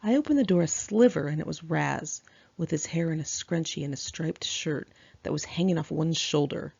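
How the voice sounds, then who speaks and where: A woman reading her own memoir aloud in a candid, expressive, conversational storytelling style. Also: low voice, normal speed, gravelly, breathy edge, lo-fi sound. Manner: candid, expressive, acts out dialogue.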